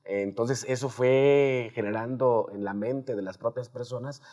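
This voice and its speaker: A man's voice: mid-range voice, a little slow, dark tone. A man with a Mexican accent hosting a conversational interview, explaining emphatically and checking with tag questions. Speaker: host.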